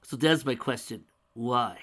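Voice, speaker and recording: A man's voice: medium pitch, measured pace, a bit bright. A man voicing a tired, blunt young male dragon who grumbles and sighs. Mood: earnest. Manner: blunt, exasperated.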